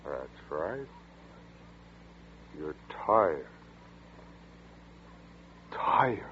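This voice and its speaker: A cold, scheming hypnotist killer in an old 1940s radio play, talking in an unhurried, low, lulling murmur. The sound is thin and tinny like an old broadcast, with a faint hum underneath. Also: male voice, sing-song, full character voice. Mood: hypnotic. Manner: slow, soothing, commanding hypnotic murmur. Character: cold scheming male hypnotist murderer, middle-aged.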